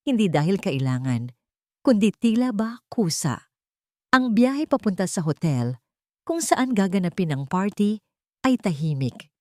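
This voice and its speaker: Female narrator, Filipino accent, low voice, dry close sound, scripted romance storytelling. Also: a little mellow, dry recording.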